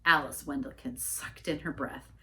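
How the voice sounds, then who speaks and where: A woman reading a storybook aloud as an expressive narrator. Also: very deep voice, moderate pace, slight background noise. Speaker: story reader, church staff member.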